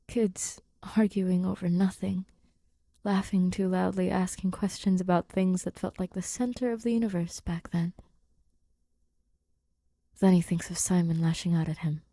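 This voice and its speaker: A woman's voice: on the low side, dark tone, husky, dry recording. A woman narrating a written story expressively, as an audiobook-style reader.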